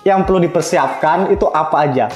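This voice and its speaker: A man's voice: fairly high pitch, brisk. A man hosting a casual, chatty interview, mixing in English loanwords. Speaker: podcast host. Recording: roomy echo, faint music behind.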